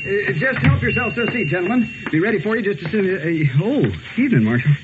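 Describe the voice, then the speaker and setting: An actor playing a nervous, obliging small-town barber with hesitant, stammering speech in a 1950s radio western. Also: male voice, high voice, expressive, quick pace. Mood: nervous, polite. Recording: muffled, hissy 1950s radio recording.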